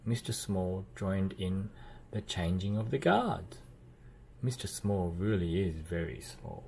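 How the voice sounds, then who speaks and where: A man reading a children's storybook aloud as narrator, with expressive delivery and playful emphasis. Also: deep voice, slow, vocal fry, background noise. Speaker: children's book reader.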